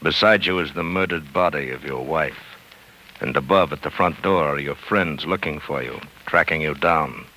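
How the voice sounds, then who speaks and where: A man announcing in an ominous, deliberate, theatrical 1940s radio narration style. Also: very deep voice, slight background noise, rough recording. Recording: old radio broadcast with loud music.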